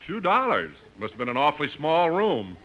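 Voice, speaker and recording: A man's voice: a little high, big pitch swings, fairly quick, dull audio. A man playing straight sidekick in a scripted 1950s radio comedy. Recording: noisy vintage radio broadcast, studio audience.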